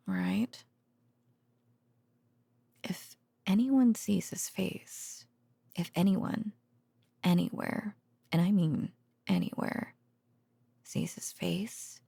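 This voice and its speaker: A gentle researcher girlfriend, acted by a woman talks softly in an intimate ASMR audio roleplay. Her voice is dark, mellow and husky, often slipping into a whisper. She speaks haltingly, very close and dry. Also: lowish voice, no echo, heavily acted. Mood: hushed, eerie. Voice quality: soft, breathy, often dropping to a whisper. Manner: hesitant, halting, murmuring. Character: gentle female researcher girlfriend murmuring secret work stories at bedtime.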